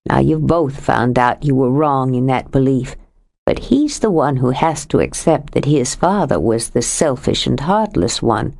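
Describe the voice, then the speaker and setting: Narrator voicing a steady, fond, blunt woman; low voice, unhurried. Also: creaky, full character voice. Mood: earnest. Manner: calm and firm, gently blunt. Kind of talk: audiobook character reading.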